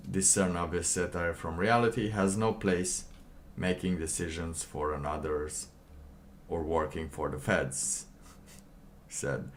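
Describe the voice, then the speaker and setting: A man with a low-pitched voice hosts a casual livestream, reading aloud and adding his own comments. He talks slowly and haltingly, rambling with pauses mid-sentence. Faint noise sits behind the voice. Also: fairly even intonation. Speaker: livestream host.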